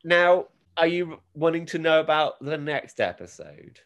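A man's voice: fairly high pitch, animated, measured pace, a bit bright. Chatty, amused British man. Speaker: podcast host. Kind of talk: casual chat, recapping a TV show.

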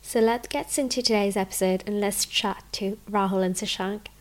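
A woman's voice: medium pitch, steady tone, normal speed. A woman hosting a podcast interview, chatty and encouraging with frequent affirmations. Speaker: podcast host.

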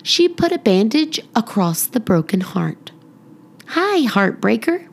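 A woman reading a children's storybook aloud as a scripted narrator, expressive and deliberate. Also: medium pitch. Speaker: children's book narrator, podcast reader.

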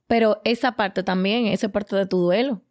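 A woman hosting a podcast, chatting in a lively, playful and affectionate way. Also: medium pitch, normal speed. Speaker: podcast host. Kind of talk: casual podcast chat.

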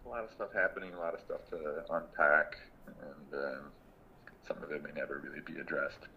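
A man in his thirties, a guest in a casual interview, speaking in a thoughtful, reflective way and pausing often mid-sentence. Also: very deep voice, fairly even intonation, vocal fry, dull audio, slight background noise. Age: 30s. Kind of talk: casual conversation.

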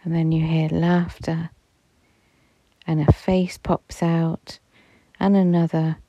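A woman guiding a visualization meditation in a soft, soothing, unhurried manner, at times dropping almost to a whisper. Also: low voice, level tone, no room sound. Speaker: meditation guide. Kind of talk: guided meditation narration.

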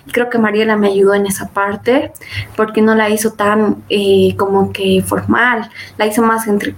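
A woman's voice: medium pitch, slight background noise, poor audio. A young woman, a podcast guest, chatting casually and retelling events with many fillers and quoted asides. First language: Spanish. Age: young adult. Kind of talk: casual interview storytelling.

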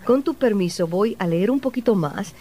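A woman's voice: mid-range voice, animated, moderate pace, mellow. A woman hosting a radio program, narrating a group's history and interviewing a guest with open enthusiasm and admiration. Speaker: radio show host.

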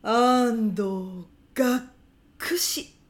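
A woman's voice: fairly high pitch, very slow. A woman playing a whimsical, gossip-hungry female personnel manager in a comedy radio drama, with a melodramatic delivery. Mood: disappointed. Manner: melodramatic, refers to herself by surname.

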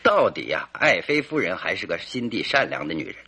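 Confident male detective, old radio play. Bright, slightly rough voice, leisurely theatrical deductions. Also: deep voice, sing-song, fairly quick, full character voice. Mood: animated narrating. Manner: theatrical, leisurely deductive storytelling with rhetorical questions. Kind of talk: old-fashioned Mandarin radio drama acting.

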